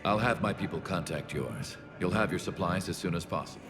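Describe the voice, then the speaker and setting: An actor playing a wary middle-aged military leader, speaking in a measured negotiating tone in a game cutscene. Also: male voice, low-pitched, music in the background. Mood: guarded. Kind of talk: video game cutscene acting.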